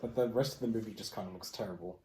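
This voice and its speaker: A man's voice: fairly low pitch, racing, warm tone, a little hiss or hum. A man co-hosting a podcast in casual chat, recorded in an echoey room. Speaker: podcast co-host.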